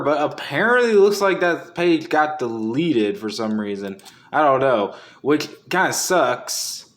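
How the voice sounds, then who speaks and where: A man's voice: mid-range voice, fairly bright tone. Male video host, casual off-the-cuff commentary, mid-range voice, rambling and self-correcting delivery.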